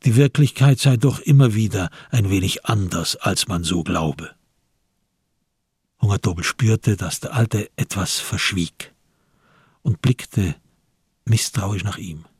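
Husky, slow male narrator. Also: lowish voice, a little mellow, no room sound, studio quality. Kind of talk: literary radio drama narration.

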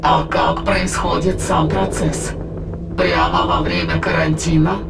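Heavily creaky, calculating alien. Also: male voice, on the high side, big pitch swings, exaggerated voice. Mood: probing. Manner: formal, measured, probing. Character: calm, calculating male alien intruder. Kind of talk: science fiction audio drama acting. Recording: loud music behind.

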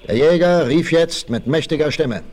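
A man's voice: on the high side, normal speed, a little mellow, lightly acted. A man giving scripted dramatic narration in the manner of a 1960s radio play.

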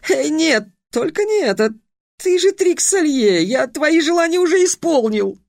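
A man's voice: high voice, huge pitch swings, moderate pace, vocal fry, no room sound, studio quality, voice pushed up, exaggerated voice. A man doing a sly squealing genie. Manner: wheedling, tricky, squealing when scared. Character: boastful sly young male genie.

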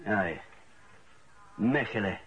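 A man's voice: on the low side, expressive, takes it slowly, fairly bright tone, husky, full character voice. An actor playing a man reading the newspaper aloud in a radio drama. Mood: measured, matter-of-fact. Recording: dull old radio recording.

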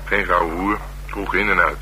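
Gruff middle-aged Dutch cop, very low forceful voice, blunt streetwise talk, radio play, humming noisy recording. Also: male voice, fairly even intonation, moderate pace. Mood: matter-of-fact. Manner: blunt, colloquial, dryly teasing. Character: gruff, streetwise middle-aged male police detective, sardonic. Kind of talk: naturalistic radio drama dialogue.

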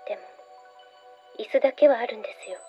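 An actress playing a troubled young woman in a radio drama, hushed and dreamy as she recounts visions under hypnosis. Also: on the high side, quick pace, faint music behind, natural voice. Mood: hushed, haunted. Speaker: voice actor. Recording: dull audio drama recording.

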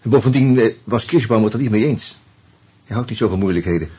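An actor playing an uneasy murder suspect in a radio play, musing and hesitant, with a wry streak. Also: male voice, on the low side, fast, warm tone, breathy edge. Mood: wary, hesitant. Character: uneasy male murder suspect narrating his own investigation. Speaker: actor and first-person narrator. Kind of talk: Dutch radio play, reflective narration and dialogue.

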